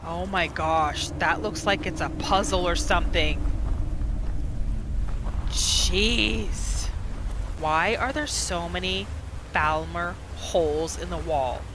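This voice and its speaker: A woman hosting a gaming video, giving casual playthrough commentary in an expressive, exclamatory way. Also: fairly low pitch, slow, noisy background. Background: game music.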